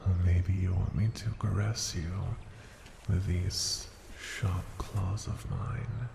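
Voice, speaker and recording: A man voicing an ancient male dragon in an ASMR fantasy roleplay, possessive, seductive and condescending, breathy and often sinking into a whisper. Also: low-pitched, level tone, exaggerated voice. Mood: seductive, teasing. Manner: slow, deliberate, teasing endearments. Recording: roomy echo, noisy ambience.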